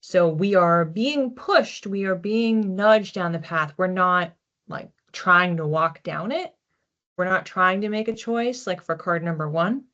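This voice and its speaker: A woman with a fairly low voice talks through an oracle card reading as its host. Her delivery is informal and reflective, with lively asides. The sound is a little muffled and lo-fi. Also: a little slow. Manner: conversational, reflective, animated asides. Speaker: psychic card reader, host.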